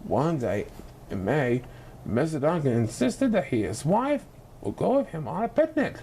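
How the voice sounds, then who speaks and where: A man reading a children's storybook expressively, with dramatic, deliberate phrasing. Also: on the high side, big pitch swings, creaky, mellow, slight background noise. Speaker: story reader.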